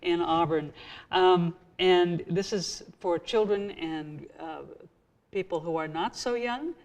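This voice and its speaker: Woman hosting a local tv chat, lowish voice, stops and restarts a lot. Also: a little mellow. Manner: halting, searching for words. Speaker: host. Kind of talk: community TV interview.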